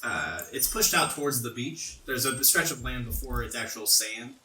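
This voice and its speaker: A man's voice: mid-range voice, moderate pace, pushed and bright. A man working as game master, narrating a tabletop game in an explanatory, descriptive way. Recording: roomy echo, faint music underneath. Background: faint background music.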